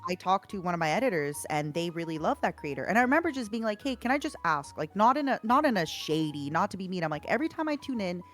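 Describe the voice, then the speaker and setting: A woman streamer in casual conversation, encouraging and animated as she tells stories. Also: fairly low pitch, fast talker, hardly any pauses, soft background music. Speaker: streamer guest. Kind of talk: casual podcast chat.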